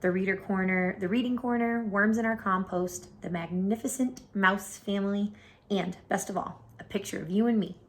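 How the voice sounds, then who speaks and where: A woman reading a children's picture book aloud as an expressive storyteller. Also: medium pitch, moderate pace.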